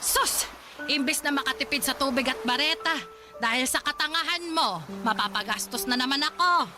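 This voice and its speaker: A woman's voice: sits high, normal speed, natural voice. An actress in a radio drama playing a cruel, pious, stingy old grandmother who scolds and nags. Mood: angry, nagging. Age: older. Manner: scolding, sanctimonious, nagging. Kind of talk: Tagalog radio drama acting.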